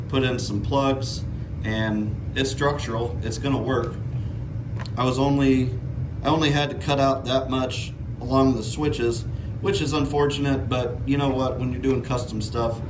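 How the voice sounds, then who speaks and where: A man's voice: medium pitch, background noise. A man hosting a do-it-yourself vlog, explaining his work casually in an informal, folksy, self-deprecating way. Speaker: DIY vlog host. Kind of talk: casual hands-on how-to narration.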